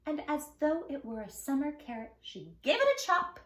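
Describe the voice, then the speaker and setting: A woman reading a children's story aloud in an expressive, affectionate way, speaking straight to young listeners. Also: high-pitched, moderate pace, roomy sound. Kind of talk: animated children's read-aloud.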